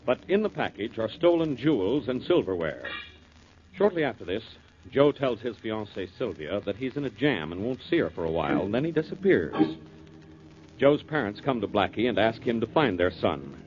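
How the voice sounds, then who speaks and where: A man's voice: on the low side, big pitch swings, normal speed, darkish tone, a little hiss or hum. A man narrating as a dramatic announcer for a 1940s radio mystery show. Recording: muffled 1940s radio broadcast. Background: prominent music.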